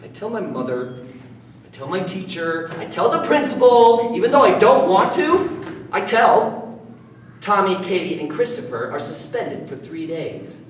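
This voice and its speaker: A man's voice: high-pitched, very animated, unhurried. A man playing a sensitive, defiant bullied schoolboy who tells his own story as a vivid, emotive stage monologue in short bursts. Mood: subdued, resolute. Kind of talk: dramatic first-person stage monologue. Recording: distant, echoey room with faint noise.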